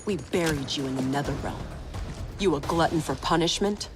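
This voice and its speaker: Actress as a tough wry soldier, lowish voice. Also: female voice, moderate pace, over music, natural voice. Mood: cocky. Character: tough, wry young female soldier, cocky. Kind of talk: video game cutscene acting.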